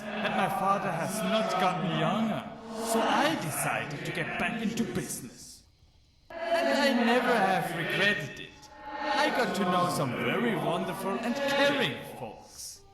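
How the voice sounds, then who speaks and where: A man's voice: high voice, very animated. A man voicing a sardonic, world-weary ghost mercenary archer in a video game, speaking matter-of-factly and a little profanely. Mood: wry, bitter. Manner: sardonic, matter-of-fact, unhurried, casually profane. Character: wry, world-weary male ghost mercenary archer, defensive but loyal. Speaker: voiced follower character in a game mod. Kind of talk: scripted game character monologue. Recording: roomy, distant voice under loud music. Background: loud music.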